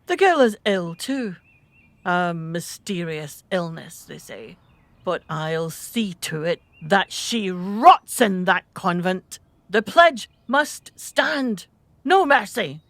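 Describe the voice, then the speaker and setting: An imperious, bitter wife in a radio play. She is scornful and vengeful, with melodramatic delivery at a deliberate pace. The sound is dry and close. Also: fairly low pitch, lively intonation, a bit bright, dry recording, full character voice. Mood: vindictive. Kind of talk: radio drama acting.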